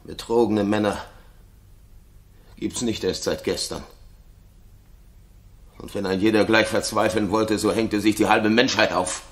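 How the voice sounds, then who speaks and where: A man's voice: lowish voice, fairly even intonation, measured pace, long pauses, close to an everyday voice. An actor playing a jealous, paranoid king in a 1960s German radio play, brooding and theatrical, moving between muttering and outbursts. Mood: bitter brooding.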